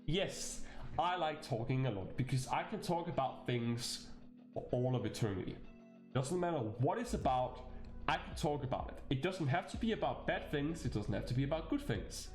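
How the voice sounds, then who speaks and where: Chatty Danish man, lively swings in pitch. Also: normal speed, reverberant, faint music behind. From Denmark. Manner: chatty, animated, jokey with bursts of laughter. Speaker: VTuber. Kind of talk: casual self-introduction video.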